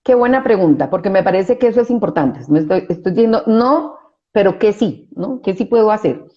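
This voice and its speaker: A woman's voice: mid-range voice, animated, brisk, lo-fi sound. A woman psychologist, explaining her view in an interview, often restarting phrases and adding tag questions for agreement. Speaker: psychologist guest.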